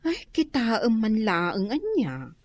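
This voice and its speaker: A woman's voice: fairly high pitch, wildly swooping pitch, takes it slowly, heavily acted. A woman acting a sensible, good-natured married housewife in an Ilocano radio comedy drama, speaking with an Ilocano accent. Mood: teasing, pleased.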